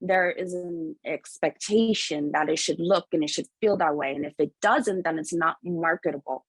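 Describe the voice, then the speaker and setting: A woman speaking as an author on a panel, giving candid opinions in a deliberate, emphatic way with a husky voice. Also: sits low, no room sound. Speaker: picture book author on a panel.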